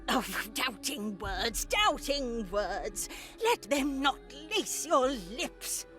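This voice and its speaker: An older nun preaches with formal, unhurried diction. She is grandly pious and a little too zealous, like a horror game's holy matron. Faint music plays behind her. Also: sits high, sing-song, bright, forceful tone, heavily acted. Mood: soothing, patronizing. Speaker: voice actor in a visual novel. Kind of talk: dramatic video game acting.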